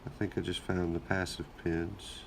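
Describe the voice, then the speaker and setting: Gravelly low-voiced man thinking aloud. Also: normal speed, slight background noise. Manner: relaxed, thinking aloud while working. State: recovering from a cold. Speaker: lockpicking channel host. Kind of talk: casual hands-on narration.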